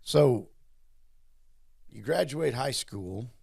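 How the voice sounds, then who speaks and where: A man's voice: mid-range voice, expressive, extremely slow, gravelly, darkish tone, slightly rough, no room sound, studio quality. A man hosting a casual interview, rambling and repeating words in a friendly way. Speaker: host.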